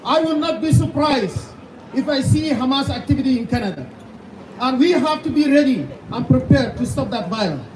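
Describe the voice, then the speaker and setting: High-voiced Sudanese man preaching at a rally. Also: expressive, moderate pace. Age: 30s. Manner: fervent, hammering rhetorical questions, at times shouting. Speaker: pastor speaking at a rally. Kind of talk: impassioned rally speech. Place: outdoor rally. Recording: distant, echoey outdoor sound with loud background noise.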